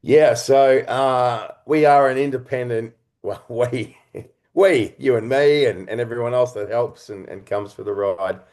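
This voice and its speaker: A man speaking as an interview guest, a writer and creator talking reflectively and expressively in halting, conversational phrases. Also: medium pitch, a little mellow.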